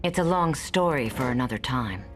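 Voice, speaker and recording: A woman's voice: very deep voice, animated, normal speed. A woman playing a noble, confident warrior superheroine in a video game, composed and authoritative. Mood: earnest. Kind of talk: animated video game cutscene acting. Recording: loud music behind the voice.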